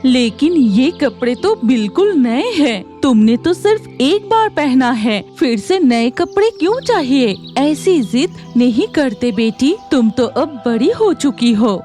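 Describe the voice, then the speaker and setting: A woman voicing a patient mother bird who gently scolds, in a children's cartoon. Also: sits high, sing-song, unhurried, hardly any pauses, soft background music. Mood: mild scolding. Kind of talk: Hindi children's cartoon dialogue.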